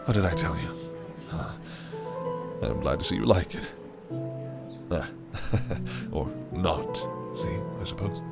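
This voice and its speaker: An actor playing a possessive, scheming fae prince who speaks in silky, archaic phrases that can turn menacing. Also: male voice, low-pitched, animated, takes it slowly, creaky, long pauses, loud music behind the voice, full character voice. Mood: proud. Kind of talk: audio roleplay acting.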